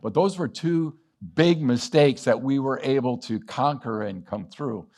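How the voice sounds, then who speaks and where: A man's voice: medium pitch, a little slow, darkish tone. A middle-aged man from Western Massachusetts giving a storytelling talk at a business summit, acting out bits of dialogue and chuckling as he goes. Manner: anecdotal, acts out dialogue, chuckles often. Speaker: business owner speaking at a summit.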